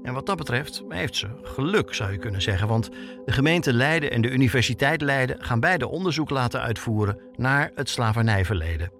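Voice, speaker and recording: A man's voice: mid-range voice, animated, brisk, a bit bright, soft background music. A man hosting a podcast with scripted documentary narration, told like a story. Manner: storytelling, with rhetorical questions. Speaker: podcast host and reporter.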